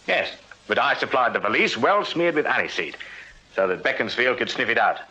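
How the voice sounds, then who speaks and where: An actor playing a shrewd Victorian detective sergeant in a radio drama, English-accented, measured and deferential in a matter-of-fact way. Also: male voice, medium pitch, expressive, pushed and bright. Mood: dry, measured. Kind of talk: British radio drama acting. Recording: dull, lo-fi radio recording.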